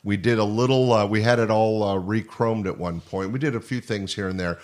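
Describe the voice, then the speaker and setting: An older man hosts a podcast interview. His voice sits on the low side, and he reminisces in long, rambling, conversational sentences. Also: steady tone, brisk, darkish tone. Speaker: podcast host, former comedy club owner.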